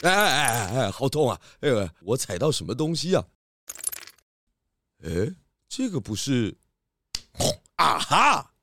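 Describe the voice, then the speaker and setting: A man's voice: mid-range voice, big pitch swings, takes it slowly, pauses often, sharp tone, faint music behind, heavily acted. A man playing a portly, confident pig detective in a children's detective audio drama, theatrical and full of exclamations. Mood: straining, groaning.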